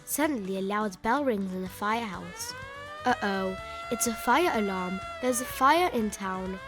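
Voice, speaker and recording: A woman reading a children's picture book aloud in an expressive, emphatic way, stretching out key words. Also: mid-range voice, normal speed. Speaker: children's story reader. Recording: music bed behind the voice.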